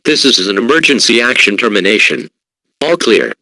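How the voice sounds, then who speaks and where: A man reading a formal scripted emergency broadcast as an announcer, in a measured, official and impersonal manner. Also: fairly low pitch, big pitch swings, bright, forceful tone, no echo, poor audio.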